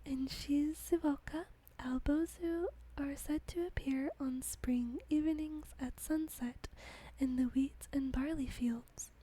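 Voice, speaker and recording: A woman whispering an ASMR reading in a soft, gentle voice, with light giggles between passages. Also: high-pitched, steady tone, takes it slowly, low-quality recording. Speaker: ASMR host.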